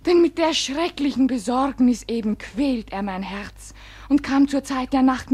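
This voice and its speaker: Casting: actress playing young village maiden, high voice, pleading and dramatic, classic radio-play style. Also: female voice, darkish tone, lightly acted. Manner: impassioned, dramatic. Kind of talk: 1950s German radio play acting.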